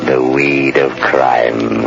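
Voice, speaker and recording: An actor playing a menacing, mysterious avenger in an old radio drama, intoning slowly and ominously. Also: male voice, rumbling low, little pitch movement, gravelly, exaggerated voice. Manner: slow, drawn-out, ominous intoning. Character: menacing, mysterious male avenger known as the Shadow. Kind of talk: 1930s radio drama acting. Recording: dull vintage radio broadcast, loud music.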